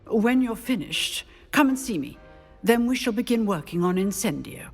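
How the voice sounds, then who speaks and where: An exacting older professor, highish and very expressive in pitch. She speaks with dry, measured authority as a game character, in a long hall reverb. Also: soft background music. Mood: dryly instructive. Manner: measured, precise, dryly stern. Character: brisk, exacting, dry-humored older female magic professor.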